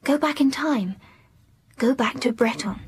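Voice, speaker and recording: A woman playing a poised, wilful young English gentlewoman in a period radio drama, speaking precisely and with self-assurance. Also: sits high, measured pace, breathy edge, heavily acted. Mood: earnest.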